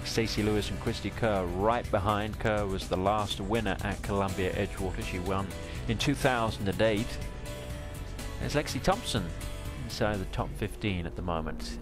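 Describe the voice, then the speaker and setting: A man calling a women's golf broadcast as play-by-play announcer, with a smooth, measured delivery. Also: lowish voice, over music. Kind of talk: TV golf commentary.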